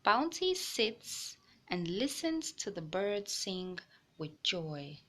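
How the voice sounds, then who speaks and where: A woman hosting a children's read-aloud, narrating a storybook with deliberate phrasing for young listeners. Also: medium pitch, big pitch swings, fairly bright tone.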